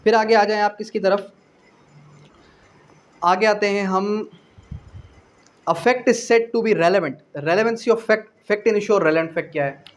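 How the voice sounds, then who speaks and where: A man's voice: sits high, slow, pauses often. A man with a Pakistani accent giving a didactic law lecture, repeating phrases, asking rhetorical questions and mixing in English legal terms. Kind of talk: exam-prep lecture, explaining point by point.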